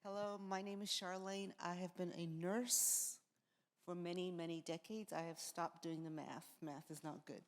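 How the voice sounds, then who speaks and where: An older woman addresses an audience as a long-serving nurse. Her voice is quite low and measured, carried with long hall reverb. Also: steady tone, slight background noise. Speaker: veteran nurse. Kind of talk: personal remarks to an audience. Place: large indoor hall at a live event.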